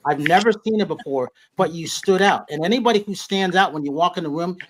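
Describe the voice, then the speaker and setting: A man's voice: sits high, brisk, slight background noise, low-quality recording. A man hosting a casual interview, asking long meandering questions. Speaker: host.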